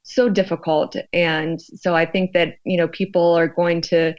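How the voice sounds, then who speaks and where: Female American expert guest, conversational and reflective, lower register, slightly dull recording. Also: flat delivery, unhurried. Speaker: expert guest, sociologist researching fear. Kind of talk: conversational interview.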